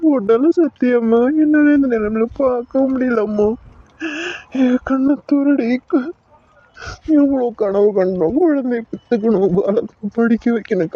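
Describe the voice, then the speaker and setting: A man's voice: very high-pitched, dull audio. A man voicing a young husband who stammers and pleads in desperation, crying.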